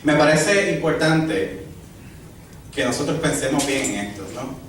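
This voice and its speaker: A man's voice: medium pitch, measured pace, slight background noise. A man from Puerto Rico with a Puerto Rican accent, preaching a sermon and asking the congregation rhetorical questions. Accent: Puerto Rican Spanish. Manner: rhetorical questions, lively emphasis. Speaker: pastor. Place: church hall. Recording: echoey hall, distant mic, faint ambience.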